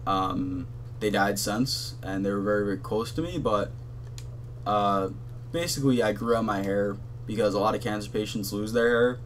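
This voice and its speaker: A young man with a low voice talks in a flat, monotone ramble, full of fillers and casual swearing. He is a gaming channel host chatting from his bedroom at his console. A faint hum sits behind his voice. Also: normal speed.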